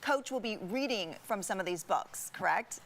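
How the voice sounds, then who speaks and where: A woman's voice: medium pitch, expressive, moderate pace, fairly bright tone. A woman co-hosting a conversational TV interview, friendly and encouraging with guests. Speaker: TV co-host.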